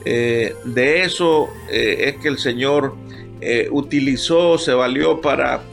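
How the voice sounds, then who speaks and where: A man's voice: mid-range voice, vocal fry, faint music behind. Older Honduran man giving heartfelt testimony. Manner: heartfelt, halting, moved to tears at times. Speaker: pastor guest. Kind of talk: emotional personal testimony.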